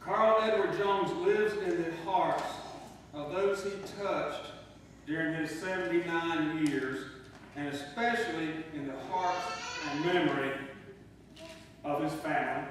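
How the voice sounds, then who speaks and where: A middle-aged man reading a memorial eulogy in a measured, deliberate way in a church. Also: a little high, a bit bright. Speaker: eulogist, son of the deceased. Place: church sanctuary. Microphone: distant microphone in a large room. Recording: distant mic, echoey hall, faint noise.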